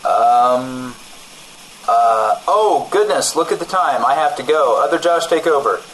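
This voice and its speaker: A man's voice: medium pitch, animated, a little slow, fairly bright tone, slight background noise, rough recording. A man co-hosting a comedy radio show in character, bantering in an evasive, self-righteous way. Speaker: radio co-host.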